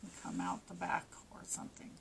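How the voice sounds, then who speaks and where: Craft teacher, woman with a stuffy nose and a strained voice, practical and unhurried, with faint noise behind her. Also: lowish voice, monotone, vocal fry. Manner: plain, practical instructions with small restarts. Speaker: crochet teacher. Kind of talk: step-by-step craft tutorial.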